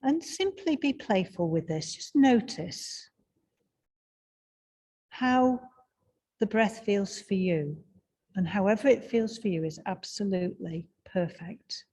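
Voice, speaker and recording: Slow, husky-voiced meditation guide woman. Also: mid-range voice, lively intonation. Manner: slow, soft and soothing, with long pauses.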